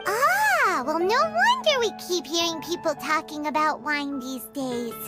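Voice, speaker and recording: Squeaky lil game fairy girl, super high, bubbly n chatty, bouncy pitch. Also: big pitch swings, unhurried, music in the background, exaggerated voice. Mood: puzzled. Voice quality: squeaky, childlike. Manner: bubbly, exclaiming, curious. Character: small excitable chatty fairy girl companion. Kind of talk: video game voice acting.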